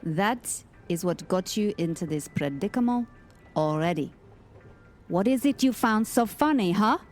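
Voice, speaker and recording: A woman's voice: medium pitch, animated, unhurried, lightly acted. A woman playing a seductive female Satan in an audio drama, haughty, scolding and commanding. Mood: exasperated. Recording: music under the voice.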